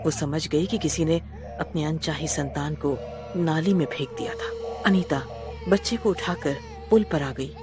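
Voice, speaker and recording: A woman's voice: low-pitched, a little slow. A woman reading a scripted horror story as storyteller, with dramatic, suspenseful delivery. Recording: loud music bed behind narration.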